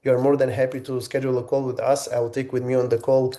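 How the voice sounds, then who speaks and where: Egyptian-born guy hosting a webinar, mellow low-key tone, lots of um and restarts. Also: little pitch movement. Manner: halting, many restarts and fillers. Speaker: webinar host. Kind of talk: webinar presentation.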